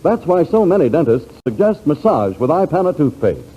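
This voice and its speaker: A man's voice: fairly high pitch, very animated, moderate pace, slightly rough. An American man announcing and reading scripted advertising in a 1940s radio style. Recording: dull, faintly noisy 1940s radio recording.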